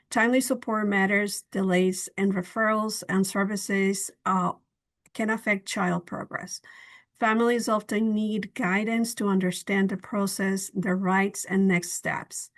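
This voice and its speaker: A woman's voice: medium pitch, gravelly. An earnest woman trainer walks listeners through a topic on a video call webinar. She explains step by step, with a few small slips in her phrasing. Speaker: bilingual trainer. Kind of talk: webinar presentation.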